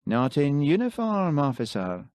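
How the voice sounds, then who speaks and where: Audiobook role: stern senior detective played by male reader, formal, demanding, lifted and animated pitch, dry studio sound. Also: measured pace, dry recording. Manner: formal, demanding, clipped questioning. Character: irritated, officious male senior police detective, stern and probing.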